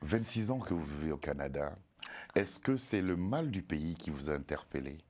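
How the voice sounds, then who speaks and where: A male talk show host with a very low, deep voice interviews a guest on television. He sounds encouraging, echoing her words and finishing her sentences as she talks. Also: expressive, normal speed, a little mellow.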